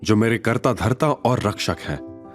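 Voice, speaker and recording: A man voicing a calm young chairman in an audio drama, composed, polite and authoritative. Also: lowish voice, measured pace, soft background music. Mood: calm reassurance. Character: calm, commanding young male chairman hiding his identity. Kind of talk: Hindi audio drama acting.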